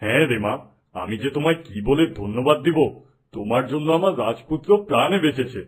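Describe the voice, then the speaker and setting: Man voicing a doting king, animated delivery. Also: mid-range voice, a little fast, husky. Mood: grateful, fond. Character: doting, dignified king and father. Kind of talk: animated fairy-tale voice acting.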